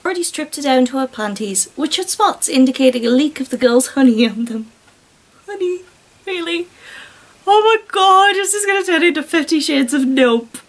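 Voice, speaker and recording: A woman's voice: high voice, animated, normal speed. A British woman reading a story aloud with giggly, incredulous, sarcastic asides. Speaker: reader and commentator.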